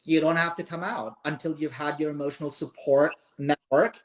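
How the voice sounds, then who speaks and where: A man's voice: fairly high pitch, fairly even intonation, moderate pace, rough recording. Earnest Pakistani man giving advice. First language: Urdu. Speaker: host of a live interview. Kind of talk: casual live-stream chat.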